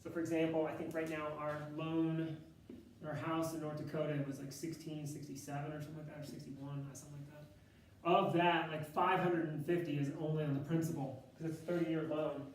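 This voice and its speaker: A man with a loud, slightly high voice lectures on math in a relaxed, chatty style. He thinks aloud, corrects himself mid-sentence and fills pauses with ums. He is heard from a distance in a very echoey classroom with faint room noise. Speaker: math instructor.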